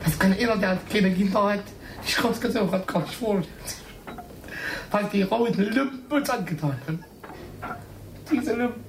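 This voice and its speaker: A man's voice: sits high, normal speed, gravelly. Bitter agitated man, echoey and distant. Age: middle-aged. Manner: bitter, cursing, repetitive. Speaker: father recounting his family's loss. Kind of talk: angry personal outburst. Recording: distant, echoey, noisy location recording.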